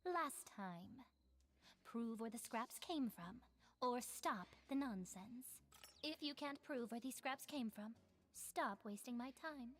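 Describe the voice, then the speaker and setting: A woman's voice: on the high side, expressive, slow pace. An actress playing a defiant, evasive female bar owner in a video game, with a haughty, curt delivery. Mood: defiant, dismissive.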